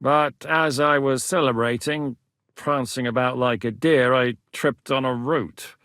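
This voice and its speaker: An actor playing a jovial, boastful soldier who likes a drink, in a video game. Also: male voice, medium pitch, steady tone, a little slow, fairly bright tone, no room sound, studio quality. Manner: easygoing storyteller.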